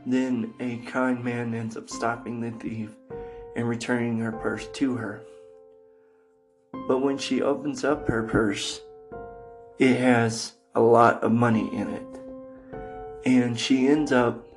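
A man introduces podcast episodes at a slow, halting pace, breaking his sentences into short phrases. His pitch stays fairly flat and mid-range. Faint music plays behind him. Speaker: podcast host.

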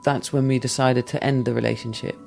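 A man calmly and candidly recounting a past relationship in a thoughtful, measured way. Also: medium pitch, vocal fry, over music. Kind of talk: reflective personal storytelling.

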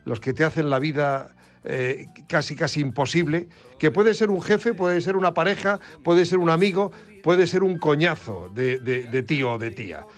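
Radio guy ranting thoughtfully, hesitating a lot, bit high voice. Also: normal speed, soft background music. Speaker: radio program director, columnist. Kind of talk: opinion commentary.

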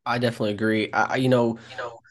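A man hosting a college sports podcast, talking in an upbeat, energetic way. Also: medium pitch, very fast. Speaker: podcast host. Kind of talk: casual sports podcast chat. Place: Columbia.

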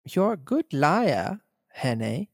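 A man voicing a cold, clever male villain who speaks in a calm, measured, threatening way. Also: a little high, no echo, studio quality, big-room sound, heavily acted. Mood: probing.

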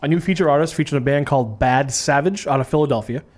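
A man's voice: on the high side, a little mellow. A man hosting a radio show, joking wryly and plugging his own program in casual studio chat. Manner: joking, wry, quick asides.

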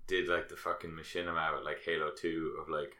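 Low-voiced man hosting in an echoey room. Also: little pitch movement, fairly quick, pushed and bright. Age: around thirty. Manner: informal, jokey, sweary. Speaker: podcast host. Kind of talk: casual chat with an old friend.

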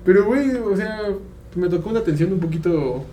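A young man with a Mexican Spanish accent chats on a podcast, laughing as he acts out the other people. His voice sits high for a man and bounces around a roomy, echoey space. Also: lively intonation, a little fast, dark tone, slight background noise. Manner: laughing storyteller, acting out quoted voices. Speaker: podcast co-host. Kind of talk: casual podcast chat.

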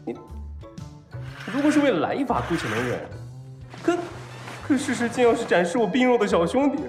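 A man playing a flustered, lovestruck young patient in an audio drama, hesitant and stammering, given to melodramatic excitement. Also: very high voice, a little fast. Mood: panicked, melodramatic. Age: young adult. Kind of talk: audio drama acting with inner monologue. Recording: roomy echo, music behind.

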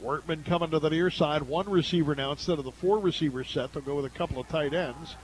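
High-pitched American man calling football play-by-play. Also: fast talker, poor audio. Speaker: play-by-play sports announcer. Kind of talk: live radio football commentary. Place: live at a high school football game.

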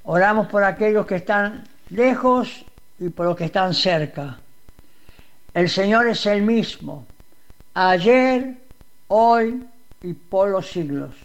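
A man's voice: sits high, lively intonation, takes it slowly, long pauses. A man leading a fervent radio prayer, pleading and repeating the address to God. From Uruguay. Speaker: radio preacher.

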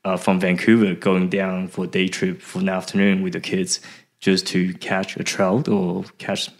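A middle-aged man from Taiwan chatting casually as an interview guest, often halting and repeating words. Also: low-pitched, completely flat delivery. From Taiwan, later Australia and Canada. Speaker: podcast guest, fishing website founder.

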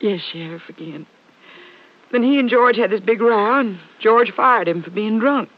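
A woman acting as a distraught ranch wife in an old radio play. Her high voice is halting and emotional, with plain country phrasing, on a muffled recording. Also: close to an everyday voice. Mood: upset. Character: distraught, defensive ranch wife, newly widowed. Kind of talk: 1950s radio drama acting.

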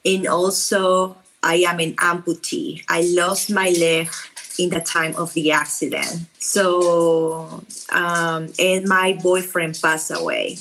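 A woman from Peru with a strong Peruvian Spanish accent, a podcast guest speaking from home, halting and searching for words. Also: fairly low pitch, a bit bright, slight background noise, rough recording. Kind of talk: interview conversation.

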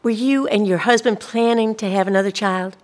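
A woman's voice: medium pitch, fairly quick, natural voice. An actress plays a female social worker in a radio play. She speaks with careful politeness and an officious edge. Her manner is evasive and soothing, yet she keeps pressing. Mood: politely probing. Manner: politely insistent, bureaucratic, soothing reassurances. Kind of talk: scripted audio drama dialogue.

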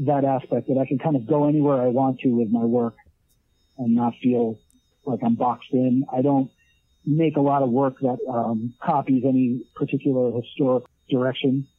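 Husky man on a phone line. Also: mid-range voice, no room sound. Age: 30s. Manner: rambling, many restarts and fillers. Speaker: leatherwork artist guest. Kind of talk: casual interview talk.

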